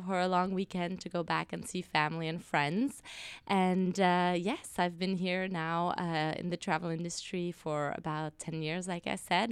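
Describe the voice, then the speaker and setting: A woman from Switzerland talks as a guest on a travel podcast, friendly and often pausing on uhs. Her voice sits a little low and sounds smooth. The recording is dry and close. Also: no echo. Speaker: hotel group representative, podcast guest. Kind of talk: casual interview answers. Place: office studio.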